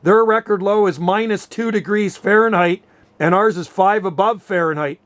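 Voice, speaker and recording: A man's voice: high voice, normal speed. A Canadian man in a casual, chatty radio conversation, explaining things as he goes. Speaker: amateur radio operator, host.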